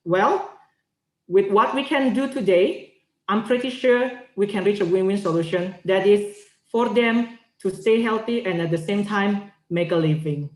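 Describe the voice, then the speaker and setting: A woman's voice: medium pitch, measured pace, darkish tone. A young woman giving formal, persuasive speech as a competition finalist, earnest and structured. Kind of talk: formal persuasive public speaking. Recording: echoey room sound.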